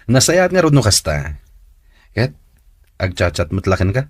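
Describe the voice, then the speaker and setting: A man's voice: medium pitch, very animated, slow pace, breathy edge, no echo, studio quality, full character voice. A man acting a nosy, encouraging buddy in a radio drama. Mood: teasing, curious.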